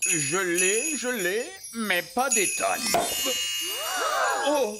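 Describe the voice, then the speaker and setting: Fussy, wildly expressive old elf. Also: male voice, high-pitched, extremely slow, exaggerated voice. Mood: gleeful. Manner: stuffy, theatrical, easily flustered. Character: excitable, fussy wise old male elf. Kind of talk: animated cartoon dub. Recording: voice over loud cartoon music.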